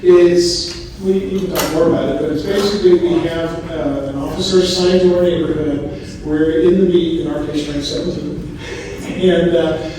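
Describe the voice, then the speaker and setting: A man on a homeowners association board introducing a guest at a meeting, speaking haltingly with many uhs and restarts. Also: a little high, fairly even intonation, dark tone, recorded from a distance, a little hiss or hum. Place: community meeting room. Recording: echoey hall recorded from afar.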